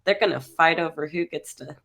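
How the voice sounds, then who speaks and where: A woman's voice: deep voice, brisk, fairly bright tone. Giggly woman getting over a cold. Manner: giggly, chatty. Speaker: guest. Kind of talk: casual group discussion. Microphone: online voice call.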